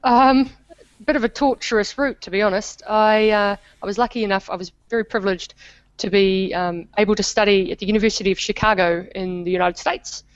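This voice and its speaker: New Zealand woman, smooth and dry. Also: mid-range voice, steady tone, dry recording, dull audio. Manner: measured, explanatory, with small restarts. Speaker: academic guest. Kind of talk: interview answers.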